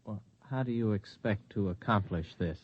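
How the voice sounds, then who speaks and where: Wary space pilot on muffled old radio. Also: male voice, on the low side, normal speed, slightly rough. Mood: skeptical. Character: skeptical, plain-spoken male space pilot, wary and independent. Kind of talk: 1950s radio drama acting. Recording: dull lo-fi vintage radio recording.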